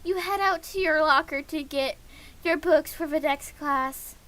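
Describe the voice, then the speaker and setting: A woman livestreaming a game in a casual, excitable way, reading the text aloud and reacting with giggly gushing. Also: sits high, measured pace.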